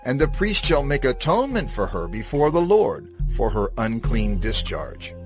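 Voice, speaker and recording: A man narrating scripture from a script in a measured, formal manner. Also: medium pitch, sing-song. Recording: prominent music bed under narration. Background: music.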